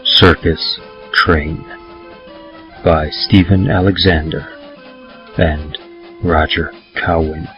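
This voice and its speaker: A man reads horror poetry in a deep voice. His pace is slow and measured, with a theatrical carnival-barker delivery. Music plays behind him, and the recording is a little muffled. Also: steady tone. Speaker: podcast host and reader. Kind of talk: dramatic horror poetry reading.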